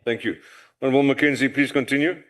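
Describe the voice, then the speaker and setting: A man chairing a parliamentary session, measured and procedural as he calls speakers and handles points of order. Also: medium pitch, fairly even intonation, fairly bright tone. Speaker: house chairperson.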